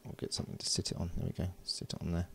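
Low, gravelly man. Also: monotone. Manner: unhurried, thinking aloud while working. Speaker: host of an electronics build video. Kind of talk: casual hands-on tutorial narration. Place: indoors at a workbench.

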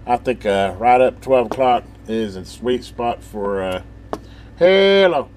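A man's voice: mid-range voice, animated, slow, darkish tone. Folksy man rambling through a demo, noisy background. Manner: folksy, informal, thinking aloud. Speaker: hobbyist presenter demonstrating a CB radio. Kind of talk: casual rambling hands-on demonstration.

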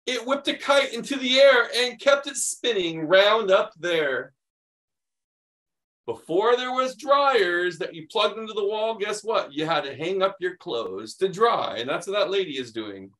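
A middle-aged American man reads a picture book aloud to young children, animated and expressive, adding chatty explanations. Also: high voice, a little slow. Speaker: children's read-aloud host.

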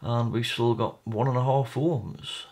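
Man talking through a repair, mid pitch. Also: measured pace, a little mellow. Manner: informal, explains step by step, thinking aloud. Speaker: hobbyist electronics repair presenter. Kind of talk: casual hands-on repair walkthrough.

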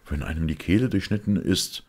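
A man acts out a detective's uneasy male companion in an audiobook, lifting his voice well above his usual bass. He sounds agitated and questioning, with a gravelly edge. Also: animated, brisk, heavily acted. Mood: impatient, shuddering.

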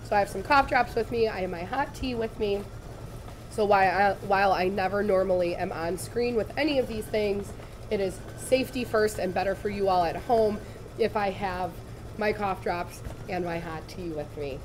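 A woman, slightly raspy from laryngitis, giving a chatty, upbeat live sewing tutorial in a studio. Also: medium pitch, moderate pace, a little hiss or hum, low-quality recording. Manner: chatty, upbeat, addresses viewers directly. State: recovering from lost voice. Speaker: embroidery demonstrator. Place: studio set with shelves, indoors.

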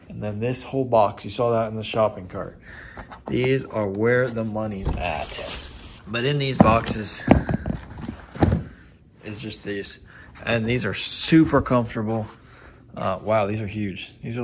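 A young man chatting informally and in slangy terms, like a vlogger, recorded on a camera at home. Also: fairly low pitch. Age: young adult. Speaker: online reseller and vlogger. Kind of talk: casual vlog chat. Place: indoors at home, small room. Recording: clearly noisy home recording.

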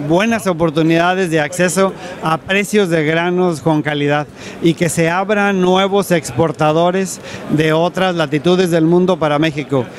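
A male pig farmer giving a short, deliberate interview at a trade fair into a handheld microphone. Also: fairly high pitch.

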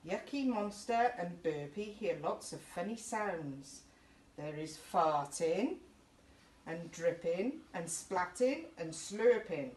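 A woman's voice: lowish voice, lively intonation, slow pace, a little mellow. A middle-aged woman, reading a children's picture book aloud in a chatty, encouraging way. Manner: chatty, encouraging, breaks off to point things out. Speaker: children's story time presenter for a youth service. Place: small indoor youth room. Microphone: camera a few feet away. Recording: roomy, distant camera mic with faint noise.

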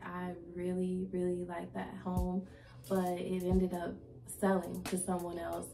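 Chatty young woman, a bit raspy. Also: on the low side, warm tone. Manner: chatty, repeats herself, many likes and ums. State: slightly raspy from a recent cold. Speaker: vlogger. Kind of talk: casual talk to camera. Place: indoor room, plain wall and plant. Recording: echoey room with background music.

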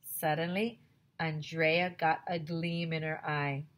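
A woman in her thirties, a teacher on a headset mic, reading a chapter book aloud to children with lively, expressive storytelling. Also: low voice, slow pace. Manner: expressive storytelling, addresses kids directly. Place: small home room with classroom decorations.

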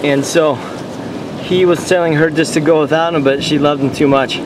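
A man on a rocky beach telling made-up stories in a deadpan way to a handheld camera. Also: on the high side, moderate pace. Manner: deadpan, tall tales told straight. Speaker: vlogger. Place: rocky beach outdoors by the surf. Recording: loud surf noise outdoors. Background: loud ocean waves.

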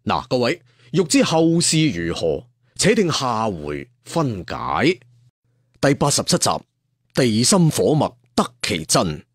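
Male, very lively intonation, husky, colloquial dramatic storyteller, addresses the listener. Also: mid-range voice, slow, dry recording, studio quality. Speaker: audiobook storyteller. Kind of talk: dramatic traditional storytelling narration.

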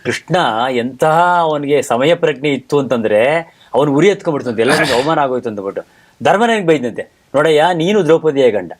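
A man hosting a casual chat, telling anecdotes in an animated way and acting out the quoted speech. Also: mid-range voice, fairly quick. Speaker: talk show host.

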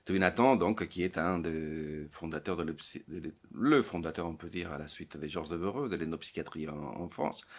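A man's voice: low-pitched, normal speed, hardly any pauses. A man in his late fifties, a psychologist giving an interview, thinking aloud and often stumbling over small repeated words as he searches for the next phrase. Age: 50s. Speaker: psychologist, guest. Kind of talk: reflective interview talk.

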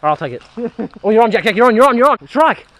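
Young Aussie male fishing vlogger, a touch high, swingy delivery, action-cam outdoor sound. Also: racing, a crowd in the background. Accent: Australian. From Australia. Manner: excitable, teasing, laughing often. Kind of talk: casual banter while fishing. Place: outdoors by a creek.

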